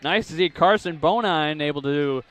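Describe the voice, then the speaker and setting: A young man calling basketball play-by-play, with rapid calls that climb sharply on big plays. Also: fairly high pitch, a bit bright. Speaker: play-by-play announcer. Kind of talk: live basketball play-by-play commentary.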